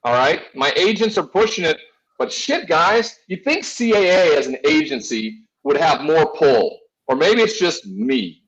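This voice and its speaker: A man's voice: fairly high pitch, very animated, measured pace, fairly bright tone, highs cut off. A man in his forties hosting a casual sports talk show, chatting and telling personal stories in a rambling, boisterous way with free swearing. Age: 40s.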